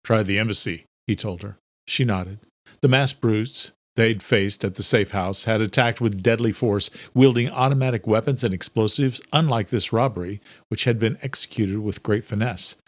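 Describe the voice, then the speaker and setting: A man's voice: fairly low pitch, normal speed, no echo. A man reading scripted narration from a thriller audiobook as its narrator.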